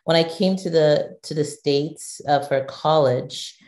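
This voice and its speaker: Low, mellow-voiced woman from Nigeria. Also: slow, echoey room. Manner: thoughtful, with frequent restarts and fillers. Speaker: guest, digital executive. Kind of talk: conversational interview.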